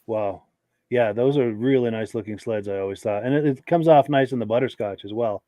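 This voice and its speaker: A man hosting a live podcast who has a cold and sounds hoarse, reading out viewer comments with short, easygoing reactions. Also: medium pitch, a little fast, no room sound, studio quality. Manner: relaxed, reads comments aloud with brief reactions. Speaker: podcast host.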